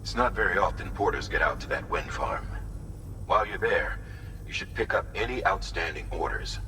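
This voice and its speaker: An actor playing an authoritative, businesslike commander giving mission instructions in a video game. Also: male voice, deep voice, expressive, moderate pace, far from the mic, background noise. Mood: urgent, directive. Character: commanding male superior directing a courier's mission.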